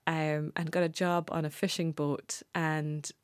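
Lively woman guest, fairly low voice. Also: a little slow, clean tone, no echo. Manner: lively storyteller, laughs often, playful. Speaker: journalist guest. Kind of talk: casual conversational interview.

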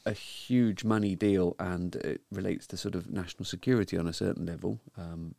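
Low gravelly guy hosting a news chat, lots of ums, pretty dry sound. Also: fairly even intonation, dark tone, no echo. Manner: measured, hesitant with many ums. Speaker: podcast host. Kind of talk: casual news discussion.

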